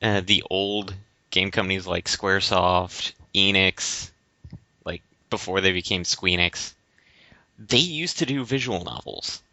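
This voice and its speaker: Halting man with a bright, lowish voice. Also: no echo. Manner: halting, stammering. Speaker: podcast co-host. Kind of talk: casual debate-style chat. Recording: slightly dull, lo-fi audio.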